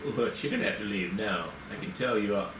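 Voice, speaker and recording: A man's voice: lowish voice, a little fast, lightly acted. A man playing a guarded, flustered groundsman in his fifties in an amateur screenplay table read. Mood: nervous, evasive. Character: nervous, evasive 55-year-old male manor groundsman. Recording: echoey, distant mic, clear noise.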